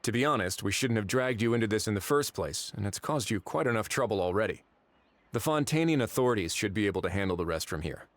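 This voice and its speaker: A man voicing an affable, easygoing male official in a video game, relaxed and lightly wry. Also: fairly low pitch, fast talker, close to an everyday voice. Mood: easygoing, measured. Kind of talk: video game dialogue acting. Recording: game music behind the voice. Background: background music.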